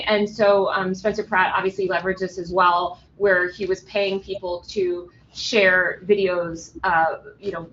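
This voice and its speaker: A woman's voice: mid-range voice, a bit bright, highs cut off, a little hiss or hum. A woman journalist being interviewed remotely, talking loosely with many ums and restarts while giving blunt opinions. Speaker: journalist guest.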